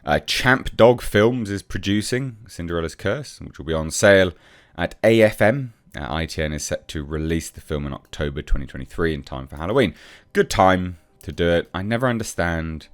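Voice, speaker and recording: A man's voice: low-pitched, animated, normal speed, few pauses, no room sound, clean studio sound. A man giving casual, off-the-cuff commentary on film news as a reviewer, blunt and sceptical in manner. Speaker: film reviewer, channel host.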